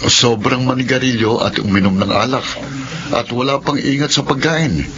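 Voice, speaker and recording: An actor playing a frank, practical husband with a Filipino accent in a radio drama. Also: male voice, medium pitch, moderate pace, a crowd in the background, close to an everyday voice. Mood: surprised, disapproving. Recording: muffled, noisy radio drama production.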